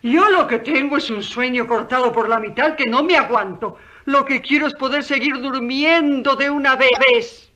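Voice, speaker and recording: An actress playing a sleepy, outspoken maidservant in a radio drama, fussy and complaining. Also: female voice, high voice, moderate pace, hardly any pauses, pushed and bright, lo-fi sound. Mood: weary, complaining.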